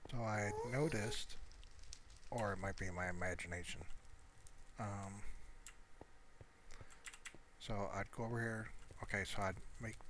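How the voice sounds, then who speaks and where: A man giving casual, rambling gameplay commentary, muttering to himself in a low-key way. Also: measured pace, long pauses, a bit bright. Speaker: gamer narrating his own gameplay. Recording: clear background noise, mouse clicks.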